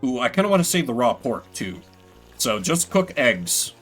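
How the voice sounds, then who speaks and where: A man's voice: mid-range voice, very animated, moderate pace, fairly bright tone, faint music behind. A man giving casual live game commentary as a streamer, rambling with playful, self-deprecating asides.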